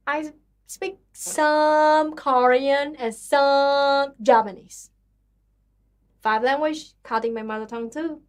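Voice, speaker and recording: A young woman with a Vietnamese accent and a high voice, chatting on her livestream. She sounds tired and talks in a chatty, apologetic, self-deprecating way, reading messages and answering viewers. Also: a bit bright. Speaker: livestream host. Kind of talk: casual livestream chat with singing.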